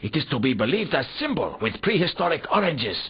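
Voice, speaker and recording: A man's voice: fairly high pitch, very animated, fairly quick, creaky, breathy edge, exaggerated voice. A man voicing an eager foreign archaeology worker in a comic video game, speaking in stilted, garbled word order with pompous long words. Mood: earnest, eager. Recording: dull, lo-fi game audio.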